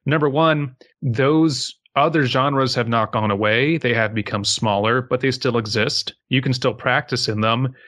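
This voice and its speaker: A man's voice: mid-range voice, measured pace, few pauses, fairly bright tone. A man co-hosting a podcast, speaking casually in a blunt, emphatic, sweary and opinionated manner. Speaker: podcast co-host.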